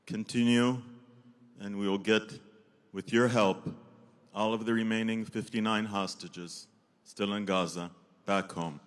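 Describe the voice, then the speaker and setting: A man's voice: fairly low pitch, steady tone, measured pace, clear, resonant tone. A man giving a formal, earnest speech of thanks as a freed hostage, repeating his phrases. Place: large banquet hall.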